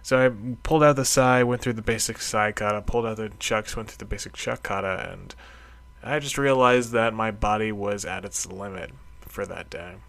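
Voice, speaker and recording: A man's voice: fairly low pitch, normal speed, vocal fry, fairly bright tone. A middle-aged man talking casually in a solo audio diary, reflective and rambling, with a self-deprecating streak. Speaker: karate practitioner keeping a training log.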